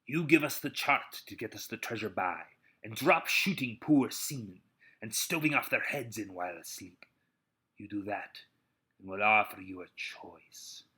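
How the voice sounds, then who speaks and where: A man voicing a crafty, bullying old sea pirate, growling and swaggering in rough sailor talk as in an adventure storybook. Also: fairly low pitch, animated, measured pace, bright, forceful tone, full character voice. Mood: sly, bargaining.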